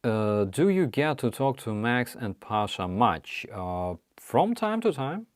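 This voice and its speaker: Slow-talking Ukrainian man, halting speech. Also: medium pitch, very animated, smooth, dry recording, studio quality. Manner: halting, apologetic, many restarts. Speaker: livestream host. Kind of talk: casual live chat reading viewer questions.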